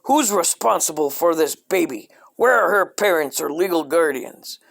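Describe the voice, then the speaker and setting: A man reading a comedic parody children's book aloud in a deadpan, scripted storyteller style. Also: a little high, moderate pace, gravelly.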